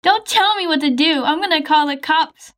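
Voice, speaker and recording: A woman's voice: sits high, normal speed, a bit bright. A woman voicing a rude, bossy shopper called a Karen, snapping at kids in a game roleplay. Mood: snappy.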